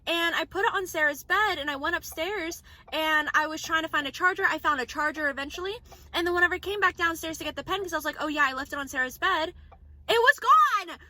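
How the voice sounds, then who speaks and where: A woman's voice: very high-pitched, fast talker, pushed and bright. A woman in her twenties chatting casually to a phone camera in a vlog, rambling with lots of "like" fillers. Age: 20s. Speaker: vlogger. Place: indoors and in a car.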